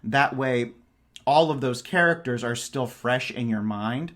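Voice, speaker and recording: A man's voice: medium pitch, measured pace, fairly bright tone. A man speaking as a podcast guest in a casual book discussion, enthusiastic and emphatic in his wording.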